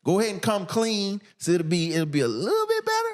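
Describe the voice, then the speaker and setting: Casual guest storyteller: American man, candid, emphatic, very animated in pitch. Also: a little fast. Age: middle-aged. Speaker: podcast guest, musician.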